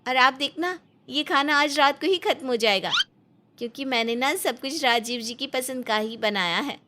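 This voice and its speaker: A woman puts on a young daughter-in-law from a cartoon family drama. Her voice sits high and sounds sugary and fussy, as she sweet-talks and defends herself with animated delivery. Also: normal speed, background noise, lightly acted. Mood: sweet, coaxing. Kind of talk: dramatized cartoon storybook dialogue.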